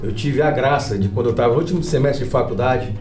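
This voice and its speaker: Man, rapid talkative podcast host, roomy echo, faint music behind. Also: mid-range voice, a little mellow. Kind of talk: casual podcast conversation with anecdotes.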